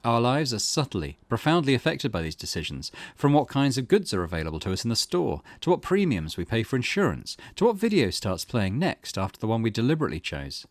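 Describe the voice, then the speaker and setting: A man's voice: mid-range voice, wildly swooping pitch, a little fast, hardly any pauses, no room sound. A man hosting a let's play, reading game text aloud and commenting on it in a thoughtful, musing way.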